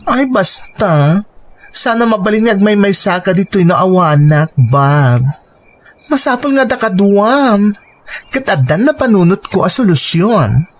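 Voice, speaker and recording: A woman's voice: mid-range voice, sing-song, measured pace. Earnest young Ilocano woman played by an actress. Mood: earnest, persuasive. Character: earnest, persuasive young working woman, devoted to her boyfriend. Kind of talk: radio drama acting.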